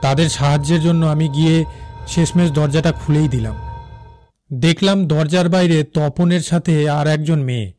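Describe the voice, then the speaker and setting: A man playing an uneasy night-shift railway worker, narrating his own horror story with suspense in an animated cartoon. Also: a little high, close to an everyday voice. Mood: anxious. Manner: suspenseful, with fearful repeated phrases. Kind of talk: first-person dramatic horror story narration.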